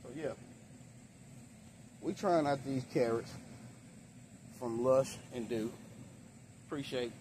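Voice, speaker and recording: Man, middle-aged, medium pitch, casual home gardener demonstration, unhurried, outdoor recording, clear background noise. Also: a little mellow. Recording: noisy outdoor ambience, traffic-like rumble.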